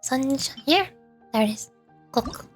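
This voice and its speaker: An American woman streamer with a high-pitched voice chats casually through a game. Her manner is playful, with dry little jokes. Music runs clearly in the background. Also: animated, unhurried. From United States. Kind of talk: casual playthrough commentary.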